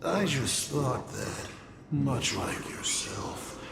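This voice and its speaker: A chaotic game villain who taunts with theatrical relish. The delivery is slow and swoops widely, with gravelly edges, echoing over loud music. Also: male voice, medium pitch, expressive, heavily acted. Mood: sly, taunting. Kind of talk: scripted video game acting.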